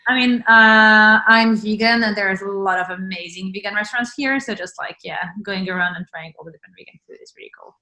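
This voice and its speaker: A woman's voice: medium pitch, a little fast, few pauses, sharp tone, poor audio. A woman from France, a guest on a video call, chatting casually with lots of "like" and "uh" and easy laughter. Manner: chatty, many fillers and laughs. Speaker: interview guest. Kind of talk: casual chat.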